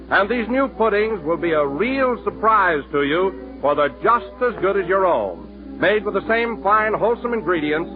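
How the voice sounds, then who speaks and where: A man reads a radio ad as announcer, smooth and upbeat. His voice sits high. It comes through a tinny 1930s broadcast with music clearly behind. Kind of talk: radio ad read.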